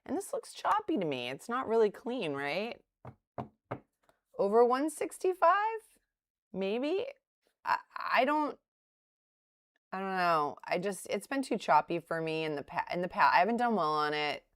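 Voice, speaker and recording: A woman's voice: mid-range voice, sing-song, measured pace, pauses often, no echo, clean studio sound. A middle-aged woman with a light Boston accent, hosting a live day-trading stream and thinking aloud with hesitant restarts and laughing asides. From Boston area. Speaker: day trader livestream host. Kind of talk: live commentary while trading.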